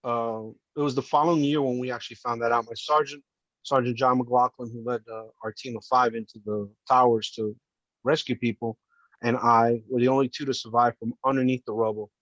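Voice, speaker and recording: A man's voice: medium pitch, level tone, a little fast, fairly bright tone, no room sound, lo-fi sound. A man in his fifties, born in Colombia and raised in New Jersey, telling his story as a podcast guest in an earnest, heartfelt way. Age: 50s. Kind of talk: casual interview storytelling.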